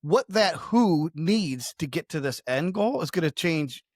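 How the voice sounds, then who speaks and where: A man's voice: a little high, expressive, measured pace, no room sound. Man explaining, dry close sound. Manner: emphatic, acts out imagined client quotes. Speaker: podcast co-host explaining marketing. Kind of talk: conversational explanation, business podcast.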